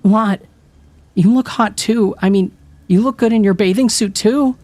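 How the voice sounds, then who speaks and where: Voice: medium pitch, unhurried, darkish tone, no room sound, voice pushed up, lightly acted. A man voicing a timid teenage girl who speaks in a tiny, hesitant voice.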